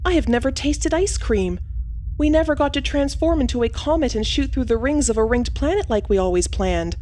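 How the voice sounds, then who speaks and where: A high, earnest voice of a sentient female machine acted by a woman. She speaks formally and wistfully in a comic space audio play, with ambient noise behind. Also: expressive, a little fast, mellow. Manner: formal, earnest, avoids contractions. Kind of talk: comic sci-fi audio drama.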